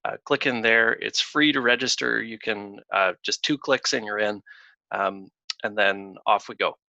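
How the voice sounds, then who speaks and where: A man hosting a casual webinar over a video call, talking in a halting way with many fillers and restarts. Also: on the low side, creaky, sharp tone, dry recording. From Canada. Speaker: webinar host, consulting firm founder. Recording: dull lo-fi video call audio.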